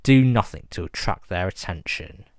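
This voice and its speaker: A man reading a scripted emergency broadcast announcement in a formal, measured and grave manner. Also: low voice, expressive, darkish tone, no echo, clean studio sound.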